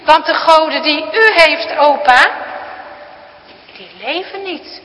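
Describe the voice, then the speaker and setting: A woman's voice: sits high, takes it slowly, sharp tone, big-room sound, voice pushed up, heavily acted, low-quality recording. A woman voicing a frightened but steadfast young girl who speaks earnestly and pleadingly.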